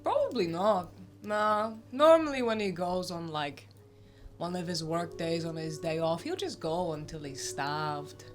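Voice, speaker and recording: A woman's voice: lowish voice, measured pace. A woman playing a tabletop game, improvising casually in character. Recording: faint music behind the voice.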